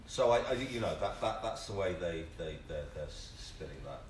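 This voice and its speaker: A man's voice: sits low, expressive. A man on a discussion panel speaking informally and emphatically, repeating words as he argues his opinion. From family from Singapore. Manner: emphatic, halting repetitions, exasperated opinion. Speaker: panellist, actor. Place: panel discussion in a theatre venue, microphone at a distance. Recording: distant roomy live-stream audio, faint noise.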